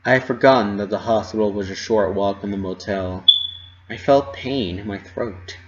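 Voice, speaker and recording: Low-voiced man reading a dramatic story. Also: normal speed, lo-fi sound. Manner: expressive, dramatic delivery. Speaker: reader of fan fiction. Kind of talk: dramatic first-person story narration.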